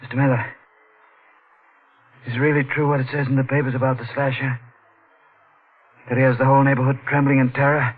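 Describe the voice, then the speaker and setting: A man's voice: medium pitch, little pitch movement, brisk, long pauses, husky, close to an everyday voice. An actor playing an eccentric, volatile male composer in a 1940s-style radio mystery, with precise, polite diction and a brooding, theatrical manner. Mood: bitter, gloating. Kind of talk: melodramatic 1940s radio acting. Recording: tinny old radio recording with music.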